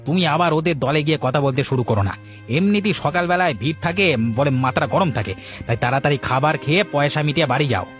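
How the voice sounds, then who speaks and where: A man's voice: fairly high pitch, fast talker, faint music behind, close to an everyday voice. A man voicing a proud, short-tempered village food-stall owner in a cartoon, brusque and lecturing. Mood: irritated. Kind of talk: Bengali moral cartoon voice acting.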